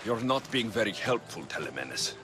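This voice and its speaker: Sardonic young mercenary in a game cutscene: lowish voice, very animated intonation, loud music behind. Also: male voice, normal speed. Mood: blunt. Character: gruff young Greek mercenary, wry and blunt. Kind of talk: video game cutscene acting.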